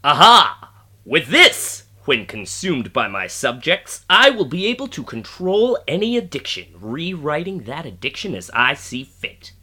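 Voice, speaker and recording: A man's voice: a little high, huge pitch swings, a little slow, sharp tone, slightly rough, full character voice. A man playing a pompous, petulant mad scientist supervillain in a comic radio play, theatrical and easily exasperated. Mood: gloating.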